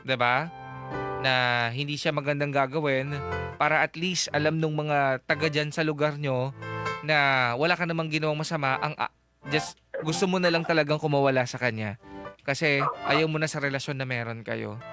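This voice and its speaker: A Filipino-accented radio co-host speaks at a middle pitch over a clear music bed. The advice is conversational, often checking that others agree. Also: fairly even intonation, normal speed.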